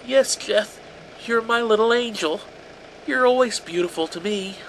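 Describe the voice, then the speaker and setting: A man's voice: very high-pitched, expressive, measured pace, sharp tone, a little hiss or hum, voice pushed up, exaggerated voice, poor audio. A man voicing a terrified mother who pleads with her son in a tearful, pleading way.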